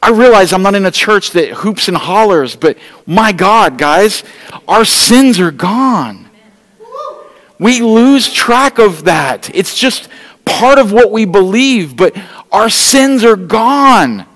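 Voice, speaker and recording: A middle-aged man preaches to his congregation in an earnest, pleading tone, his voice sitting a bit high for a man. The sound carries the long reverb of a church hall. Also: animated, takes it slowly, fairly bright tone. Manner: walks the stage holding an open Bible. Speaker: pastor. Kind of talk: sermon. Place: church stage, large room indoors.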